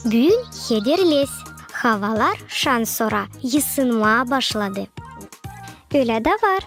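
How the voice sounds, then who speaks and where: A woman's voice: on the high side, big pitch swings, takes it slowly, soft background music, exaggerated voice. A woman voicing a helpful, sensible, childlike animal friend in a children's cartoon, speaking in a friendly, matter-of-fact way. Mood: conversational.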